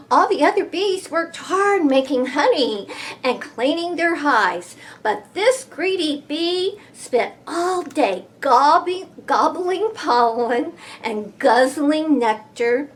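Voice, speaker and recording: A woman reading a picture book aloud to children, expressive and deliberate, with playful emphasis. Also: high voice. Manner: expressive, deliberate, with playful sound effects and gasps.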